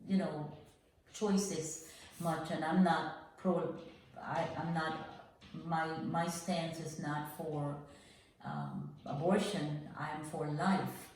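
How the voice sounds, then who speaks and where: An older woman from Guyana telling her life story as a podcast guest, earnest and reflective, with frequent pauses. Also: low-pitched, fairly even intonation, darkish tone, very echoey, distant mic, a little hiss or hum, low-quality recording.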